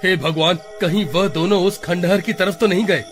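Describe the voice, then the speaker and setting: A man plays a defensive village husband in a cartoon. His voice is bright and forceful, blustering with complaint and indignation, with faint music underneath. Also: high-pitched, normal speed. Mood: worried. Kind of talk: Hindi cartoon acting.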